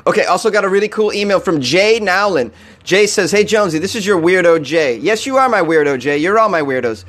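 A man's voice: a little high, a bit bright. A young man hosting a comedy podcast, talking loosely and joking, with frequent laughs. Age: young adult. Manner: joking, laughs often, playful. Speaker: podcast host. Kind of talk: casual comedic monologue.

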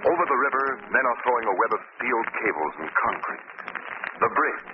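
A man's voice: medium pitch, sing-song, fairly quick, background noise, close to an everyday voice. A man playing a solemn, portentous narrator in an old-time radio drama, with emphatic delivery. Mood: solemn, ominous. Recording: lo-fi classic radio recording, loud music.

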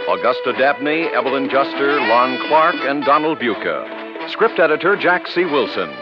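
A dull old radio broadcast with music behind an American male announcer. He reads credits formally and deliberately, in a fairly high, melodic voice that moves up and down widely. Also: lively intonation. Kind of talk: mid-century radio closing credits announcement. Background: orchestral theme music.

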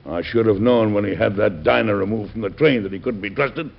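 An actor playing a genial, big-hearted American president in old radio drama style, unhurried and avuncular. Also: male voice, lowish voice, vocal fry, very noisy. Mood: indignant. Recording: dull, band-limited old radio recording.

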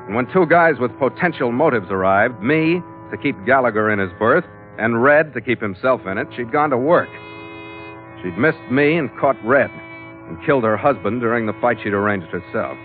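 A tough, wry skipper played by a man from a 1940s radio adventure, telling his tale in hard-boiled style. His voice sits at a medium pitch. The recording is narrow and tinny, with faint music. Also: lively intonation, normal speed, lightly acted. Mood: vivid, storytelling. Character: tough, wry sea captain narrating his own adventures. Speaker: lead actor and narrator.

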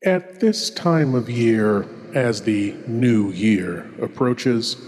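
A man playing a suave, ghoulish horror host lord, speaking in a deliberate, intimate, theatrical way as he introduces scary tales. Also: mid-range voice, a little mellow, slight background noise. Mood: ominous. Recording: long reverberant hall echo.

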